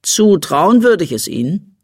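A man's voice: high-pitched, big pitch swings, unhurried, darkish tone, slightly rough, no room sound, clean studio sound, pitched above the performer's own voice, heavily acted. A man voicing a grumpy know-it-all little boy, indignant and self-important, for a children's audiobook. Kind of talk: animated children's audiobook character voice.

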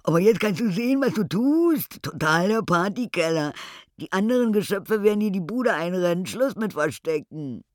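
A man voicing a loud, jolly castle monster that booms and giggles. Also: expressive, moderate pace, no room sound, clean studio sound. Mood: boisterous.

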